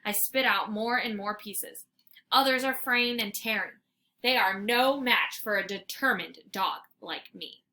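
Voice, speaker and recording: A woman reading a children's story aloud like a teacher, with dramatic, expressive narration. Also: mid-range voice, unhurried. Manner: dramatic, animated.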